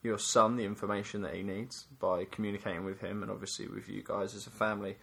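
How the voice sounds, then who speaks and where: A low-voiced man co-hosting a casual youth soccer chat. He teases his partner playfully, then settles into steady, practical explanations. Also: fast, creaky, slightly rough. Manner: playful, teasing; explanatory, steady when giving advice. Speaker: youth soccer coach and podcast co-host.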